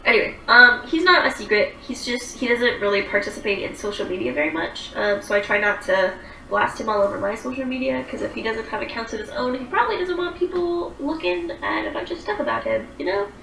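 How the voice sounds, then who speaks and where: Lively bright-voiced young woman livestreamer. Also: a little high, brisk, echoey room, recorded from a distance, a little hiss or hum, rough recording. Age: 20s. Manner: chatty, rambling, lots of "like" and "um". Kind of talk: casual livestream chat reading viewer comments.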